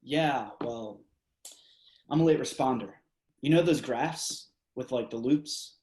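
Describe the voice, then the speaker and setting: A man's voice: mid-range voice, slow, darkish tone, slight background noise, natural voice. A man reading the part of a drunk, reckless man in his twenties in a play, loose, crude and slangy, on a video call. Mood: drunk, rambling. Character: drunk, reckless twenty-six-year-old party guy. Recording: echoey room on video call.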